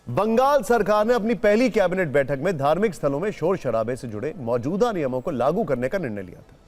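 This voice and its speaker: A male news anchor with a fairly high voice and very lively intonation. He presents in an emphatic, explanatory way.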